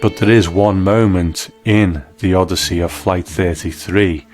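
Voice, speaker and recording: A man's voice: sits low, steady tone, a little slow, darkish tone, faint music behind. A man hosting a scripted video essay, reviewing in an enthusiastic, explanatory manner. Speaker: host and reviewer.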